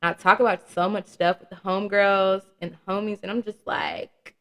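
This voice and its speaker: A woman's voice: medium pitch, steady tone, moderate pace, a bit bright. A young woman around twenty hosting her own podcast, talking candidly and casually with slang, swearing and laughing at her own jokes. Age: young adult. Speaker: podcast host. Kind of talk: casual solo podcast chat.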